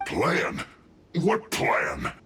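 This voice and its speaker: A man's voice: medium pitch, wildly swooping pitch, drawn-out words, pushed and bright, a little hiss or hum, full character voice. A man playing a hapless, aggrieved henchman in a video game, plaintive and melodramatic. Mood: cornered. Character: hapless male henchman, aggrieved, protesting his innocence. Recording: echoey voice, music behind.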